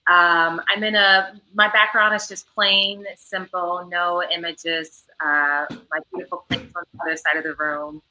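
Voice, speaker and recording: Bright-voiced woman on a video call. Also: on the low side, little pitch movement, a little slow, few pauses, slight background noise, low-quality recording. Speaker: panelist, film director. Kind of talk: self-description on a video panel. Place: indoor room at home.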